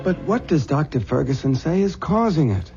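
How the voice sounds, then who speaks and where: An actor playing an anxious, devoted husband in an old-time radio drama, earnest and pleading. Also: male voice, a little high, sing-song, normal speed, darkish tone, faint music behind. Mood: concerned. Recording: muffled vintage radio recording.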